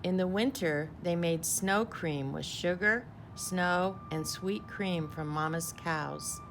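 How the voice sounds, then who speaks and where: Female storybook reader, low register with gravelly creak, deliberate phrasing, clear music bed underneath. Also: fairly bright tone, slight background noise. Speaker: teacher reading a picture book aloud. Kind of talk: storybook read-aloud.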